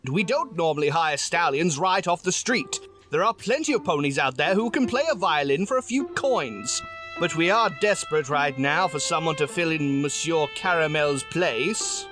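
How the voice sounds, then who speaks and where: Man playing a high, pompous opera manager stallion. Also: sing-song, normal speed, over music. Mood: brisk, businesslike. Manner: formal, haughty, theatrical. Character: pompous, indignant male pony opera manager. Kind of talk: audio drama acting.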